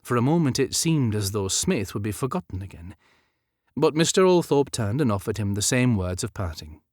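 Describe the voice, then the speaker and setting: A man narrating a historical romance novel as an audiobook, in measured, dramatic storytelling. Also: on the low side, animated, a little mellow, no room sound, studio quality.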